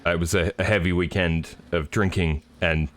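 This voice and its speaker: Hoarse, joking male race commentator. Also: low voice, moderate pace, a little hiss or hum. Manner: relaxed, joking, with many fillers. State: slightly hoarse. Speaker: sim racing commentator. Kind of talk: live stream race commentary.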